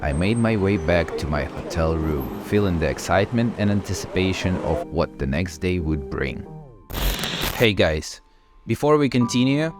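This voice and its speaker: A man narrates a food travel video in a fairly low voice. He tells the story in a relaxed way, and music plays behind him. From Ukraine. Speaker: food vlogger. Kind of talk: scripted travel voice-over narration. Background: background music.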